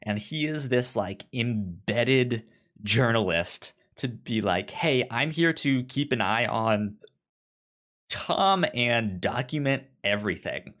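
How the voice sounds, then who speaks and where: A man co-hosting a podcast in a casual book discussion, halting and often stammering over his words. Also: medium pitch, lively intonation, fairly bright tone. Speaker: podcast co-host.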